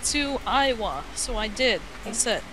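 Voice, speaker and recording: A woman telling an improvised story in casual chat, halting and rambling, acting out the dialogue as it goes, in a noisy amateur voice chat. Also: fairly high pitch, lively intonation, crowd noise.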